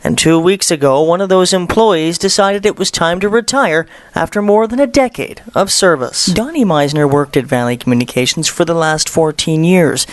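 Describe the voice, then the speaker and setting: A man with a Canadian accent reading scripted news narration as a reporter, in a measured, presentational way. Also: fairly high pitch, no room sound.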